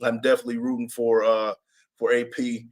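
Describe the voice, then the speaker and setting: A man with a raspy voice hosting a sports fan podcast, chatting casually and repeating himself for emphasis. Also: mid-range voice, a little fast. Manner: animated, repeats himself, reads chat comments aloud. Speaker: podcast host. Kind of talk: casual live-stream chat.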